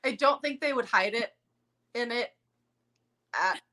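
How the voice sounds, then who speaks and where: A woman co-hosting a podcast in casual chat, dropping quick playful asides. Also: on the high side, bright, forceful tone. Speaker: podcast co-host.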